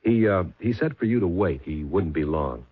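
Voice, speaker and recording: A mild, husky old-time detective. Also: male voice, deep voice, lively intonation, normal speed. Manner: mild, hesitant, with many uh's. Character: calm, dogged male police detective. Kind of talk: 1970s radio drama acting. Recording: phone-like old radio broadcast.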